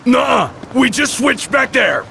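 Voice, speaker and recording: An actor playing a big, gruff young tough guy in a video game, bossy and teasing. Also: extremely high pitch, animated, unhurried, pushed and bright, a little hiss or hum, exaggerated voice.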